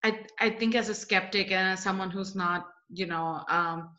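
Young woman, bright sharp voice, halting questions, lots of fillers, dull lo-fi call audio. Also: mid-range voice, fairly even intonation, echoey room. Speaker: interviewer, poet. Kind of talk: casual interview. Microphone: laptop webcam on video call.

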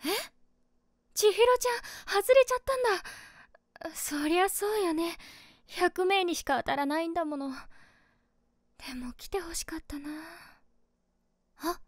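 A woman playing a caring young female streamer who is secretly smitten, musing gently in a feminine way in a voice drama. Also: very high voice, unhurried, long pauses, no echo, clean studio sound. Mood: disappointed, resolved. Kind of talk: Japanese voice drama monologue.

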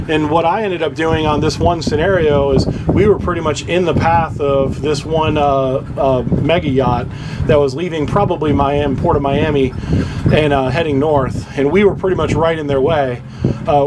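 A man's voice: fairly high pitch, very noisy. Casual man demoing boat gear. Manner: casual, rambling, many fillers and restarts. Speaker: boating channel host. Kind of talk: hands-on equipment tutorial.

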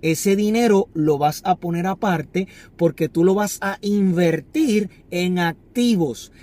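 A man with a fairly high voice speaks straight to a phone camera inside a parked car. He sounds earnest and instructive, repeating key phrases as he coaches on money. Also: takes it slowly, mellow. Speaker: finance vlogger. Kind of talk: motivational talk to camera. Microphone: phone camera on the dashboard.

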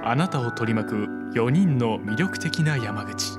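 A man's voice: mid-range voice, lively intonation, a little slow. A man reading scripted, dramatic narration for a game trailer. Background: music.

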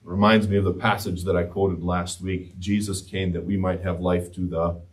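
A man in his thirties preaching a sermon in a church sanctuary, swinging between loud impassioned rises and hushed quiet passages. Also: sits low, little pitch movement, normal speed. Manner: gestures widely while preaching standing before the altar. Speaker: pastor. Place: church sanctuary, mid-sized, indoors. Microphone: far camera, no handheld mic. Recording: speaker distant from the mic.

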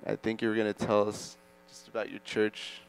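A man's voice: lowish voice, monotone, normal speed, vocal fry, smooth, low-quality recording. A young man casually moderating a student panel on an auditorium stage, upbeat and full of fillers. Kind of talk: moderating a school chapel panel.

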